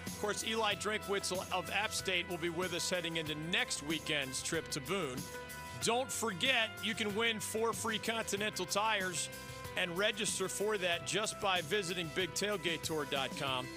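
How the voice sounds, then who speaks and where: A male sports radio host taking calls, talking fast and emphatic, pushing his opinions with rhetorical questions. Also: high voice, music in the background. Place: radio studio. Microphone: studio mic.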